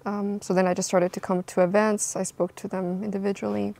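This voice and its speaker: A woman's voice: mid-range voice, flat delivery, brisk, creaky, darkish tone. A young woman from Costa Rica, an anthropologist answering interview questions in a thoughtful way, with frequent ums and restarts. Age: young adult.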